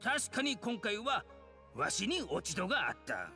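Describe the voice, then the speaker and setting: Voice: mid-range voice, huge pitch swings, fairly quick, pushed and bright, faint music behind, exaggerated voice. An actor voicing a pompous, blustering old scholar with archaic elderly speech in an anime game. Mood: conceding. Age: older. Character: pompous, indignant old scholar who calls himself an aged hero. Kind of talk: Japanese anime game voice acting.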